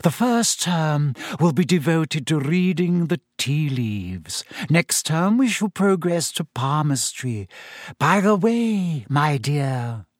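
A man voicing a dreamy, eerie woman seer, speaking slowly and softly in a misty voice. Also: on the high side, sing-song, no room sound, clean studio sound, exaggerated voice. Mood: mystical. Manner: slow, soft, drawn-out delivery.

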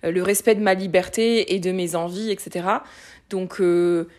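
A woman in her late twenties talking candidly in a casual conversation, lively, with many fillers and restarts. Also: lowish voice, normal speed. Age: late 20s.

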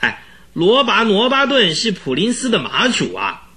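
A man's voice: sits high, huge pitch swings, moderate pace, bright, forceful tone, breathy edge, exaggerated voice. A man playing an agitated, pleading horse trainer in his mid-twenties in a radio drama, stammering and exclaiming. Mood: agitated, urgent. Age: young adult.

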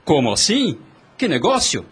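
An actor playing a devoted, courtly gentleman in a radio soap opera, with formal, theatrical delivery. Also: on the high side, wildly swooping pitch, normal speed, full character voice. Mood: surprised, eager. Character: devoted gentleman suitor, earnest, protective and courtly. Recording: radio drama with prominent music. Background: clear background music.

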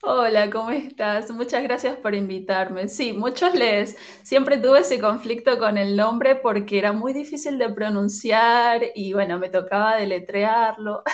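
A woman's voice: on the high side, a little slow, dull audio. A woman in her twenties with a Venezuelan accent, casually telling her own story as a podcast guest in an informal, giggly manner. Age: 20s.

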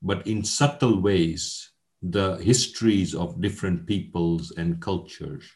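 A man in his sixties with a very deep, low-pitched voice speaks as an interview guest. He talks in an earnest, measured way, often pausing and restarting while he thinks. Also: darkish tone. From Kenya. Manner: measured, earnest, with frequent hesitations. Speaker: guest, education academic. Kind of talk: conversational interview.

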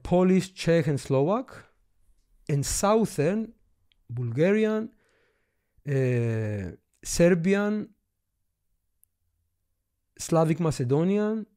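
A man's voice: a little high, warm tone. A man with a Greek accent, teaching history in a casual lesson, eagerly explaining and thinking aloud as he points things out.

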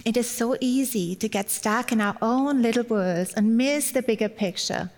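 A woman's voice: fairly high pitch, normal speed, darkish tone. A middle-aged woman from Germany with a German accent, giving a personal, lightly humorous church sermon as a guest speaker. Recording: long hall-like reverb.

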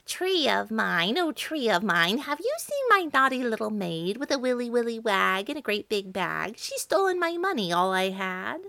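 Angry old witch played by a woman, sing-song calling, lively pitch, dry sound. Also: normal speed, vocal fry, few pauses, dry recording, heavily acted. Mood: calling angrily.

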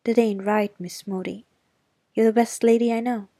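A woman's voice: fairly high pitch, steady tone, normal speed, mellow, no room sound, clean studio sound. A woman reading the part of a feisty, stubborn young girl, speaking bluntly and defiantly.

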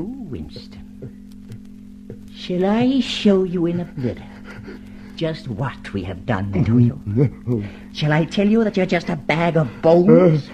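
Radio drama villain: cold party interrogator, high-voiced, theatrically menacing, with wide melodic swings. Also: male voice, a little mellow, exaggerated voice. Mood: cruel, relentless. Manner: theatrical, deliberate, menacing, preaching in slogans. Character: cold, commanding male party inquisitor, a fanatical torturer. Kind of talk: mid-century radio drama acting.

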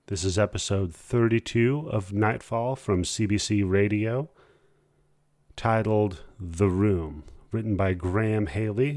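A man hosting a podcast, talking informally and haltingly in a casual solo style. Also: fairly low pitch, darkish tone, dry recording, studio quality. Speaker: podcast host.

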